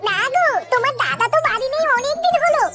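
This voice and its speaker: Cartoon flirt, woman performing: village sister-in-law, piercingly high pitch, brisk pace, coy and suggestive. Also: expressive, music in the background. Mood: flirtatious. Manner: coaxing, suggestive. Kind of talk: comic cartoon dialogue.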